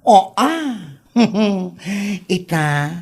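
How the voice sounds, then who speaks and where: A woman playing an excitable, gossipy friend who scolds theatrically in a comedic audio drama. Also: medium pitch, drawn-out words, heavily acted. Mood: mocking, urging. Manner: theatrical, exclamatory, reproachful.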